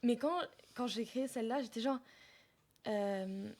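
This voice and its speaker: Soft-spoken young woman from Lebanon. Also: on the high side, measured pace. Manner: soft-spoken, searching for words, trailing off. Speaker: guest singer-songwriter. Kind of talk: radio interview answers.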